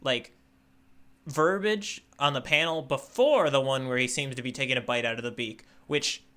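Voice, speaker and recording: Bright-toned, chatty male podcaster. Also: mid-range voice, normal speed. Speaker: podcast co-host, newcomer tourist. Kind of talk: casual chat, manga readalong podcast.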